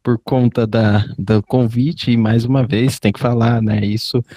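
Male panel guest, Brazilian accent. Warm, mellow timbre, dry sound, casual delivery. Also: medium pitch, fairly even intonation, measured pace, no room sound. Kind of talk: casual discussion.

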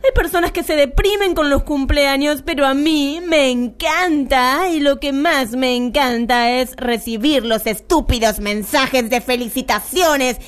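A wicked, self-absorbed spinster villainess from a comic radio play. The voice is very high and slow, savoring each word. The delivery is theatrical, gloating and sugary-mean. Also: female voice. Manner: theatrical, cackling, gloating, mock-sweet. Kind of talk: comic radio drama acting.

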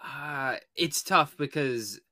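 Bright-voiced man chatting about films. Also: fairly high pitch, slow, no echo, clean studio sound. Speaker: podcast host and film reviewer. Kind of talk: casual film review chat.

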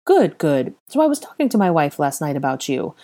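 A woman's voice: low voice, sing-song, a little fast. A woman voicing a cheerful, eager man with an effusive, fawning manner in an audiobook story. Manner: bright, effusive greeting.

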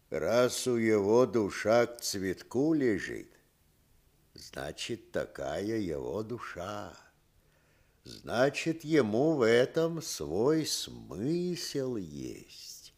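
An actor playing an old village grandfather, gentle, wise and unhurried, with rustic speech in a radio play. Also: on the low side, full character voice. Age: older. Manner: unhurried, rustic, sparing of words.

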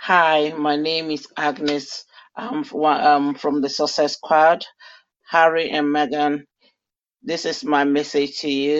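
A woman giving a fan message full of faith-filled affirmations. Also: deep voice. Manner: affirming, preacher-like blessing. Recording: dull lo-fi recording.

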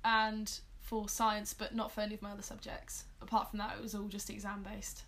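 A woman's voice: medium pitch, completely flat delivery, fairly quick, fairly bright tone. A nineteen-year-old woman with a British accent, talking casually as a guest on a podcast. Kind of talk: casual chat.

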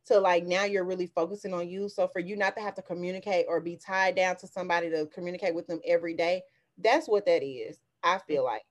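An American woman co-hosting a podcast, chatting casually among friends with an emphatic, animated, slangy delivery. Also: on the low side, fast. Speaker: podcast co-host.

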